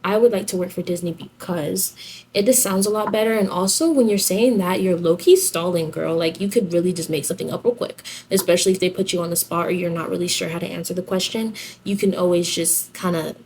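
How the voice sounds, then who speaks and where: Low-voiced young woman, chatty vlogger, background noise. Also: steady tone, fairly quick, a little mellow. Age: young adult. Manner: chatty, girlfriend-to-girlfriend slangy talk. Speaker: vlogger giving application tips. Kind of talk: casual advice vlog.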